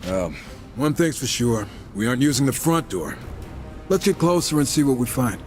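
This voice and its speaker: A man's voice: mid-range voice, very animated, moderate pace, over music, close to an everyday voice. An actor playing a cocky, wisecracking supernatural horseman in a video game, sarcastic and casual. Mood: wry. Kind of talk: video game cutscene acting.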